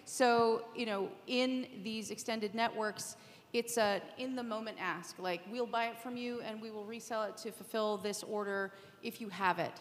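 A woman's voice: on the high side, fairly even intonation, normal speed. A woman speaking off the cuff on a conference panel, explaining things in an informal way. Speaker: panelist, media executive. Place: conference hall, live panel. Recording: echoey hall with long reverb.

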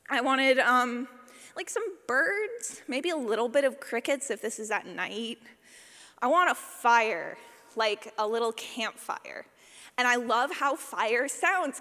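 A woman's voice: a little high, fairly bright tone. A woman giving a casual, conversational workshop talk as a sound designer, with a wry, playful, self-deprecating manner. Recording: long hall reverb.

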